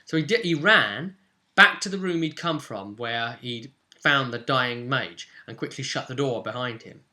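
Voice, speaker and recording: A British man casually recounting a tabletop roleplaying session as game master, in an animated, informal way. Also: mid-range voice, normal speed.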